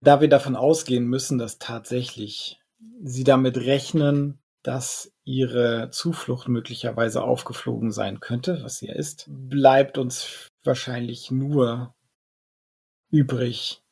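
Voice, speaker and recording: A man playing a calculating vampire sorcerer in an audio drama, speaking in formal, wordy sentences broken by deliberate pauses. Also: mid-range voice, steady tone, creaky, a little mellow, breathy edge, heavily acted. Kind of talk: hobby audio drama dialogue.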